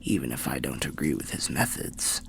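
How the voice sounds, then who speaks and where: A man playing a weary, wry undead storyteller in an ASMR fantasy roleplay, confiding in a breathy, gravelly half-whisper with old-fashioned phrasing. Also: extremely low pitch, animated, normal speed, heavily acted. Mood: wry, musing.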